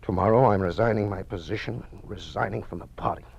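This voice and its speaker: Deep gravelly old scholarly father. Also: quick pace, a little mellow. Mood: regretful, resolute. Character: weary, principled old scholar, a loving father. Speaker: actor in a radio drama. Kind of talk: old-time radio drama acting. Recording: muffled vintage radio recording.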